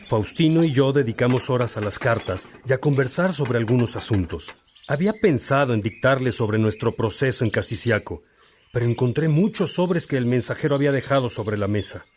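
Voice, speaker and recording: A man playing a thoughtful, fraternal monk and preacher in a radio drama, speaking in a measured, didactic way. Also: mid-range voice, lively intonation, a little mellow, slight background noise, lightly acted. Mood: friendly, reflective. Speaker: actor in a religious radio drama.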